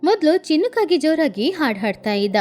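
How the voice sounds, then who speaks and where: High-voiced woman narrating a cartoon. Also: big pitch swings, a little fast, darkish tone, faint music behind. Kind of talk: children's cartoon storytelling.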